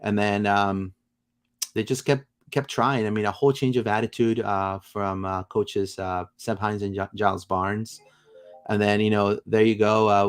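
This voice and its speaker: A man hosting a casual soccer livestream, rambling with many fillers and bursts of excitement. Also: lowish voice. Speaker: podcast host.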